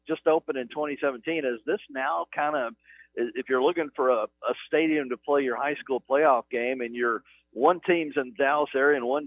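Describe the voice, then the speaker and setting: A man hosting a halftime radio interview from a stadium press box, talking in a relaxed, folksy way with easy jokes. His voice sits at an everyday middle pitch. The sound comes through thin and phone-like, as on a narrow radio feed. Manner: chatty, folksy, jokes with guest. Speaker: radio sports broadcaster.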